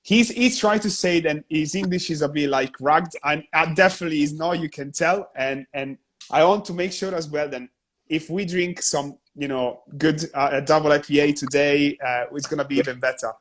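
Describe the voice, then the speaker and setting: Chatty host, higher voice. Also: male voice, moderate pace, a bit bright. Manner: chatty, enthusiastic. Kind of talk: live stream interview host. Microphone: video call.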